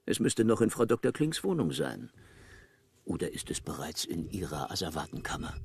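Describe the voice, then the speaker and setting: A man's voice: low-pitched, big pitch swings, moderate pace, gravelly, darkish tone, breathy edge, no room sound, studio quality, exaggerated voice. An actor playing a cocky, sarcastic male journalist in a radio crime drama, pushy and self-important. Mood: self-assured, demanding.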